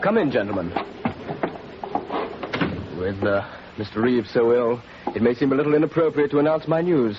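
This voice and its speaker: Male actor as polite young gentleman secretary for radio play; mid register, hesitant, muffled and clearly noisy old recording. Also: medium pitch, moderate pace, pauses often, lightly acted. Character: courteous young secretary, newly engaged, restrained. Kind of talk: 1940s radio acting.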